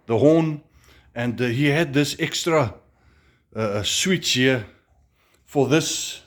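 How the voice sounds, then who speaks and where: A middle-aged Namibian man with an Afrikaans accent, chatting casually in a self-made review, halting and repeating words with many fillers. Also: mid-range voice. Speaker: motorcycle vlogger.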